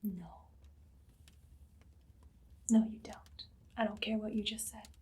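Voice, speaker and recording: A woman playing an imperious, idly cruel evil queen in an ASMR roleplay, soft-spoken and often whispering in a languid, condescending way. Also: mid-range voice, fairly even intonation, takes it slowly, natural voice. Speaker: ASMR performer.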